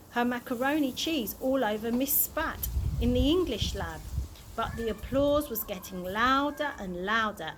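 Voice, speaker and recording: A woman's voice: fairly high pitch, a bit bright, a little hiss or hum, rough recording. A woman reading a children's story aloud expressively, occasionally stumbling over words and correcting herself. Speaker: early years practitioner reading aloud.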